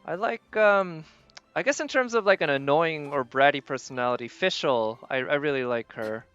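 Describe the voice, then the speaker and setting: A live streamer talks casually to viewers while playing a game. The voice sits at a middling pitch and muses aloud, often hesitating over each thought. Game music plays behind it. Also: normal speed, a bit bright, clear, resonant tone, no room sound, highs cut off. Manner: musing, hesitant, thinking aloud. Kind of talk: casual gaming stream commentary, chatting with viewers. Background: video game music.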